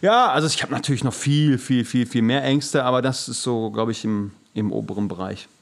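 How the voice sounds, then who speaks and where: A man's voice: mid-range voice, fairly quick, creaky. A man co-hosting a podcast in casual chat, earnest and slangy, often searching for his words. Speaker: podcast co-host.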